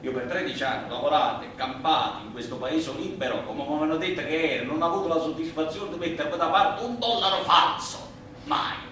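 An actor playing a plain-spoken, indignant immigrant worker on trial, in simple, emphatic theatrical oratory. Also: male voice, fairly high pitch, sharp tone. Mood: indignant, impassioned. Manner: simple, emphatic, deliberate working-class oratory. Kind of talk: theatrical courtroom monologue. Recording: echoey, distant stage recording, clear noise.

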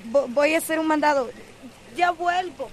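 An actress with a Costa Rican accent playing a worried young daughter in a radio soap opera, hesitant and stammering. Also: female voice, high-pitched, moderate pace, slight background noise, close to an everyday voice. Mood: nervous.

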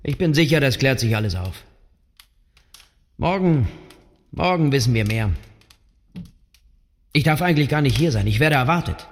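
A man's voice: medium pitch, expressive, moderate pace, long pauses, lightly acted. An actor playing an impatient, self-important businessman who survived a train crash, in a radio drama. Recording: roomy hall, faint hiss.

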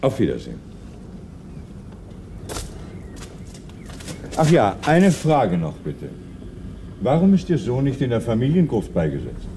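An actor playing a composed, wry middle-aged police commissioner in a 1960s German radio crime play, polite and probing. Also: male voice, medium pitch, huge pitch swings, moderate pace, warm tone, a little hiss or hum. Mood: persistent.

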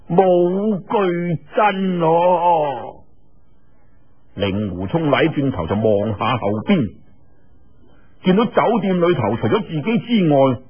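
A man with a Cantonese accent telling a tale in a colloquial, expressive, dramatic storyteller's style. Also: mid-range voice, slightly rough, lo-fi sound. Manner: colloquial, expressive, with trailing sentence particles. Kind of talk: traditional dramatic storytelling narration.

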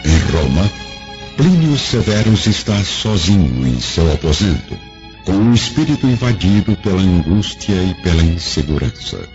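A man delivers dramatic scripted narration for a radio serial. His voice is very low and rises and falls expressively, with a solemn tone. The sound is slightly dull, with music clearly underneath. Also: very animated, normal speed. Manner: solemn, ornate, storytelling.

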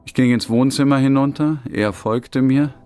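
A man's voice: medium pitch, normal speed, dark tone, smooth, lightly acted. An actor playing a lonely, melancholic man narrating his memories in the first person in a radio drama. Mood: wistful, reflective. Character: lonely, melancholic male narrator recalling life with a dog. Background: music.

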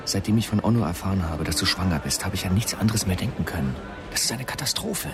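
Fast, intense, rational man. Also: sits low, expressive, music in the background. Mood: agitated, pressing. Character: agitated, rational man, anxious and bitterly ironic. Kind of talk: naturalistic radio drama acting.

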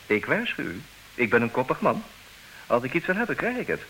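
A suave, stubborn gentleman in a comic radio play. His delivery swings widely in pitch, formal and teasingly polite. The recording is old, with an audible hiss. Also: huge pitch swings, a little fast, poor audio. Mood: firm. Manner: mock-courteous, formal, teasing.

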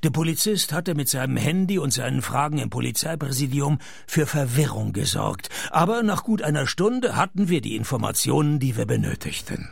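A man's voice: mid-range voice, sing-song, brisk, vocal fry, few pauses, slightly rough, dry recording, heavily acted. An actor playing a laconic, wry male private detective in a German-language radio play, dry and ironic as he narrates and talks. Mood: dry narration.

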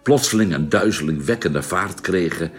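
An actor playing a spaceman who narrates his journey in a science-fiction radio drama, in a deliberate, descriptive manner. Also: deep voice, expressive, faint music behind. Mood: measured, recounting.

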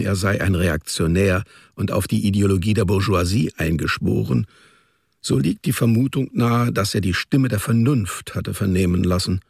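A man narrating a radio drama as a literary story, in a measured, precise storytelling manner. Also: on the low side, creaky, darkish tone, husky, no echo, studio quality.

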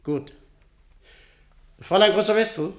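A man's voice: sits high, a little slow, a bit bright. An actor in a German radio play playing a domineering rich businessman, commanding, clipped and coolly insistent. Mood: curt. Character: domineering, curt rich businessman, a director giving orders.

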